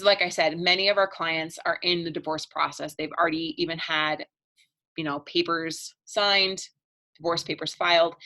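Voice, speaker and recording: A woman marriage coach giving a chatty, upbeat pitch in a live online webinar, laughing between points and losing her voice. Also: pushed and bright.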